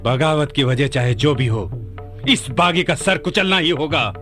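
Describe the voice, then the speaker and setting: A man acting a loyal, forceful army commander in a radio play, with a formal, martial manner. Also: on the high side, a little slow, soft background music. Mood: grave, resolute.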